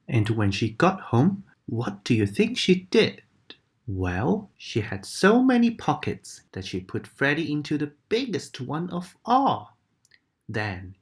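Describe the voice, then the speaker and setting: A woman reading a bedtime story aloud to children, gentle and animated. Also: very deep voice. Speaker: storybook reader.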